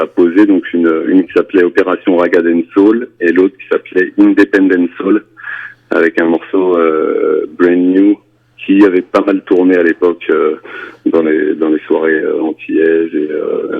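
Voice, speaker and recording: A man's voice: low-pitched, creaky. A man in his forties, a singer being interviewed, speaking hesitantly with many fillers and restarts. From France. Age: 40s. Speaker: reggae and dancehall singer, guest. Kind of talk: radio interview. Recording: phone-like narrow band.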